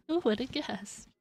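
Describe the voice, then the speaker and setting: A woman chatting casually and playfully on a gaming livestream, giggly and given to exclamations. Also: fairly high pitch. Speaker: game live streamer.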